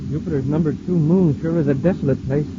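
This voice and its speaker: An actor playing a fumbling young space cadet. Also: male voice, a little high, fast, close to an everyday voice. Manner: hesitant, deferential, fumbling. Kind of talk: 1950s radio drama acting. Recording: muffled, hissy old radio transcription.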